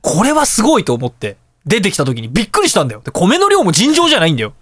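A man hosting a radio show, chatting casually and telling anecdotes in an animated way, with exclamations and sound-effect words. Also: high voice, rapid-fire, no room sound, studio quality.

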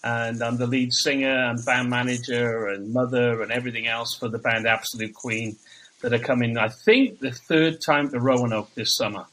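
A man's voice: medium pitch, fairly even intonation, normal speed, fairly bright tone, rough recording. A man from Wales, chatting casually as a guest on a podcast and laughing between his stories. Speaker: guest, tribute band singer.

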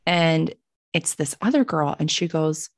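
A young Canadian woman chats through a personal story in a slightly low voice. She is blunt and sweary, animatedly acting out who said what. The sound is dry, with very little room. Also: measured pace, gravelly, dry recording. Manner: animated, blunt, sweary, acts out quoted dialogue. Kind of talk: casual conversational storytelling.

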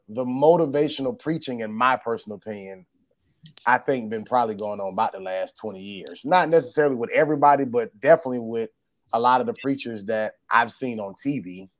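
A man hosting a casual podcast chat, his voice hoarse, often saying "gotcha" and "mm-hmm" as he talks. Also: medium pitch. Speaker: podcast host.

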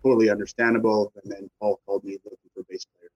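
Husky man in his forties. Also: fairly low pitch, flat delivery, very fast, highs cut off. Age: 40s. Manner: rambling, restarts, easygoing. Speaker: band member, guest. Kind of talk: casual interview chat.

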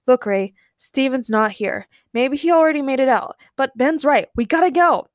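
A woman's voice: high voice, lively intonation, moderate pace, dry recording, studio quality, voice pushed up. Woman playing a stern male security guard. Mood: firm.

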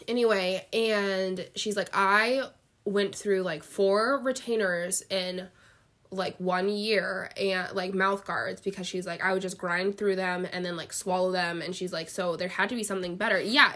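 Female podcast co-host, bright tone, congested with a head cold, casual and talkative storyteller who acts out quotes. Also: mid-range voice. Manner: chatty, filler-heavy, quotes people animatedly. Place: bedroom, sitting on a bed.

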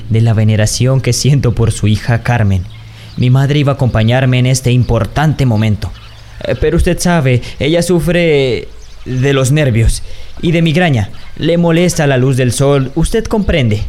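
An actor playing a formal, deferential young suitor in a radio drama. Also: male voice, medium pitch, measured pace, darkish tone, soft background music, natural voice. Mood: courteous, earnest. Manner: deferential, polite.

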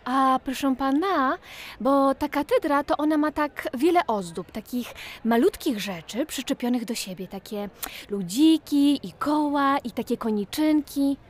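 A woman's voice: high-pitched, measured pace. A woman playing an eager, curious little girl full of wonder in a children's audio play. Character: curious, enthusiastic little girl exploring with brother.

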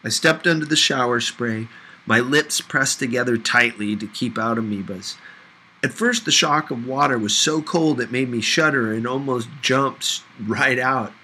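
A man reading a novel aloud as expressive scripted narration. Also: mid-range voice, unhurried, a little hiss or hum, rough recording. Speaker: audiobook reader.

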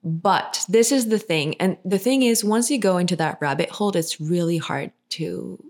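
A woman's voice: lowish voice, normal speed, darkish tone. A woman from Finland, co-hosting a podcast in casual, rambling chat full of fillers and restarts. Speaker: podcast co-host.

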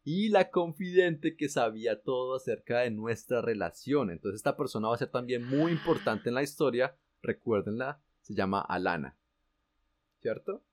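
A man's voice: mid-range voice, animated, normal speed. A man with a Colombian accent, animatedly telling a personal story in casual, slangy chat. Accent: Colombian Spanish. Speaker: guest telling his story.

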